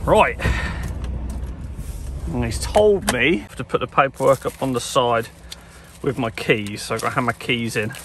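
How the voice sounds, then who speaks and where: A man in his thirties with an English accent, chatting casually and excitably as a trucker vlogging from his cab. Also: mid-range voice, a little slow, pauses often. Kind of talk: casual vlog chat to camera. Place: lorry cab and loading yard. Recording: clear vehicle and outdoor noise.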